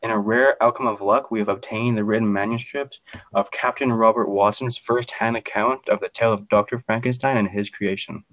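A man's voice: lowish voice, steady tone, brisk, fairly bright tone, dry recording, rough recording. A man hosting a podcast, reading a scripted introduction in a formal, grandiose manner. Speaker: podcast host.

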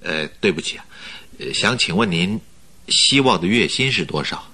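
An actor in a Mandarin radio drama playing a polite, flattering company recruiter who talks in a measured, persuasive way. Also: male voice, low voice, slightly rough, heavily acted. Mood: courteous, flattering. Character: smooth-talking male company recruiter, flattering, persuasive schemer.

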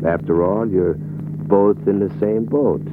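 Cocky prizefighter, tinny old radio. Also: male voice, fairly low pitch, takes it slowly, background noise. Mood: mocking. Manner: brash, dismissive tough talk. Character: cocky, callous young prizefighter who bets big. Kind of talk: old-time radio drama acting.

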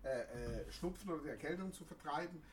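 Halting man, set off-mic. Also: a little high, animated, distant mic, slight background noise. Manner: hesitant, many fillers and restarts. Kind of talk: casual chat.

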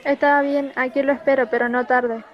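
Radio play lead: scheming young woman, female actor, high pitch, slightly dull recording. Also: level tone, normal speed, close to an everyday voice. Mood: coy. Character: scheming young woman secretly bent on avenging her father. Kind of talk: amateur radio play acting.

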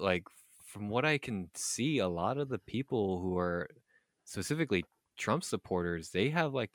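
Male podcast co-host: low register, close dry sound, earnest, stumbling over repeats in casual discussion. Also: fairly bright tone, dry recording. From United States. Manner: earnest, halting, repeats words.

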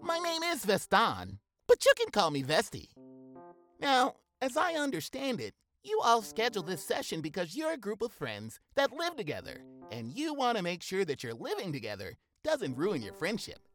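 Giggly, swooping therapist. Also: female voice, medium pitch, huge pitch swings, moderate pace, fairly bright tone, soft background music. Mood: chirpy. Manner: effusive, giggly. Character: chirpy, jokey, nosy female group therapist. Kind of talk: sitcom audio theatre acting.

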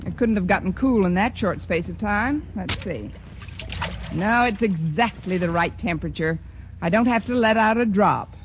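An actress playing a dry, sarcastic, quick-witted schoolteacher in a 1940s radio sitcom. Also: female voice, mid-range voice, animated, natural voice. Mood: wry. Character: wisecracking, sardonic female schoolteacher. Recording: noisy lo-fi vintage radio broadcast.

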